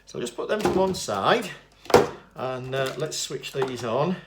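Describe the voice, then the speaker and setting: A man's voice: medium pitch, unhurried. A man giving an informal, unscripted equipment demonstration, explaining things in a matter-of-fact, slightly rambling and hesitant way. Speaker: presenter demonstrating vintage tape recorders. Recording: clear steady background noise.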